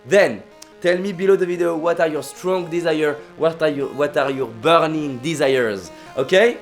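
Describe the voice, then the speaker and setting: A man's voice: on the high side, moderate pace, faint music behind. A young man with a French accent, a native French speaker, giving an emphatic motivational vlog talk full of repetition and restarts. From France.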